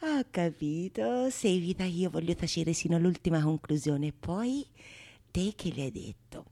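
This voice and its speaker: Actress as a nosy, teasing female confidante, lively. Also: on the low side, a little slow, pitched below the performer's own voice. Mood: gleeful prodding. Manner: prodding, knowing, theatrical. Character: curious, teasing woman confidante egging a storyteller on. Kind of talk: stage dialogue in radio theatre.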